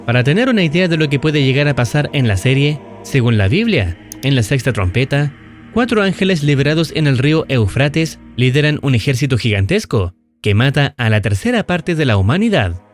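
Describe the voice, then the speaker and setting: A male narrator delivers a scripted recap in an explanatory storytelling manner. His intonation moves around in a lively way while staying measured. Soft music plays underneath his voice. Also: mid-range voice. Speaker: narrator of video recap and analysis. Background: background music.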